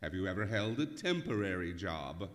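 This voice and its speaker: A man's voice: sits low, sing-song, normal speed. A man acting an exasperated, fussy department store manager in a theatrical old-time radio comedy style. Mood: brisk, dry. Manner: flustered, theatrical. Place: live stage performance in a museum hall. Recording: echoey hall, some noise.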